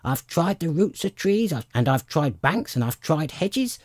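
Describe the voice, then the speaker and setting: A frantic mother pigeon from a storybook. She scolds and complains in a high, wildly swooping voice, full of indignation and scorn, with a breathy rasp at the edges. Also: very animated, measured pace, dry recording. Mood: fretful complaint. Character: agitated, scolding female pigeon, frantic and contemptuous.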